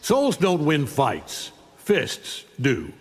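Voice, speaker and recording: An actor playing a brash, aggressive brawler in a fighting game, blunt and cocky, in a reverberant noisy recording. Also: male voice, on the high side, huge pitch swings, very slow, heavily acted. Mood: threatening. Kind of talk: fighting game character dialogue.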